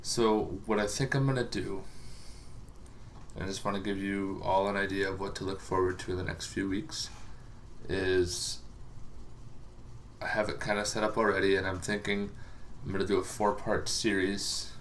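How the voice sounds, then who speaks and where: A man giving a casual, unscripted explainer as a host, halting and rambling with long pauses, over some background noise. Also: sits low, flat delivery. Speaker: host of a tabletop gaming lore series.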